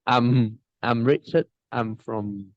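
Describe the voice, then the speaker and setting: A man from Indonesia, an online English teacher, answering interview questions on a video call in a halting way, often restarting and laughing. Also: mid-range voice, no room sound. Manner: halting, hesitant speech with many restarts and frequent laughs. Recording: dull, muffled video-call audio.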